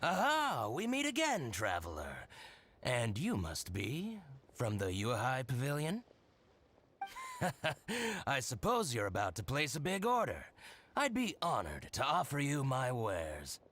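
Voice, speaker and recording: An actor playing a scheming, jealous merchant who gloats and chuckles slyly, for a video game. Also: male voice, mid-range voice, wildly swooping pitch, slow pace, a bit bright, heavily acted. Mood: gloating. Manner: theatrical, gloating, sly chuckles.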